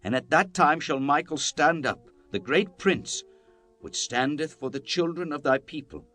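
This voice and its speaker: A man's voice: fairly high pitch, a little slow, fairly bright tone, dry recording, faint music behind. A man narrating scripture in a solemn, declamatory style, as in a dramatized Bible reading.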